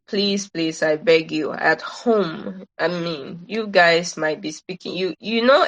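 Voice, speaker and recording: A woman's voice: low voice, rough recording. A woman giving an informal online presentation, advising in an earnest, emphatic way and repeating her points. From Nigeria.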